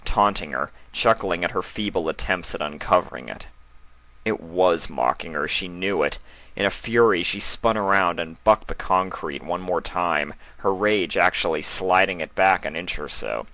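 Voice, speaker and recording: A man's voice: sits low, bright, forceful tone, no room sound. A man reading a fantasy story aloud as its narrator, in a dramatic scripted style. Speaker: fan fiction narrator.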